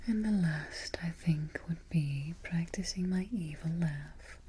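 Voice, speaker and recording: A woman playing a haughty evil queen in a soft-spoken ASMR roleplay, breathy and whispery, giving petty orders with imperious, mock-sweet deliberation. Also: low voice, takes it slowly, slight background noise. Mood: coolly menacing. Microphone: close ASMR microphone.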